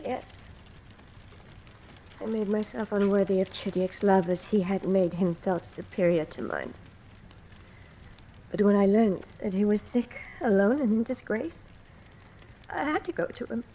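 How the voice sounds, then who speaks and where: A woman's voice: mid-range voice, measured pace, pauses often, dark tone, slight background noise, close to an everyday voice, poor audio. A woman playing a desperate but defiant young captive in a radio drama, pleading and melodramatic. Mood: confessing, wounded. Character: desperate, defiant young servant-born adventuress held captive.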